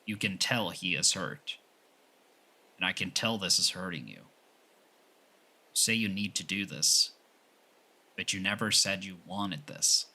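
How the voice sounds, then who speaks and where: A man playing a stern, loyal Yakuza underling in an audio roleplay, firm and measured, with a gritty, husky voice. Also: low-pitched, expressive, bright, forceful tone, exaggerated voice. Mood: earnest. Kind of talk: audio roleplay drama acting.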